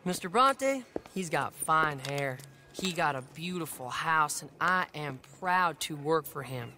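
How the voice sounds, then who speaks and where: An actor playing a cocky young street gang leader who taunts a stranger with a mocking, swaggering drawl. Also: male voice, on the high side, animated, a little slow, pushed and bright, slight background noise, exaggerated voice. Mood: taunting.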